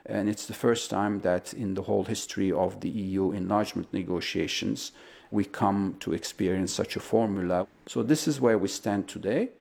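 Gravelly low-voiced male analyst. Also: normal speed, darkish tone. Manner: hesitant, many uh fillers. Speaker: policy analyst. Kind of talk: interview answers.

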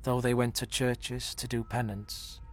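A man reading an old war elegy in English translation, solemn and deliberate. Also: mid-range voice, little pitch movement, soft background music. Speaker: reader of the poem in translation. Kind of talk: slow dramatic poetry reading.

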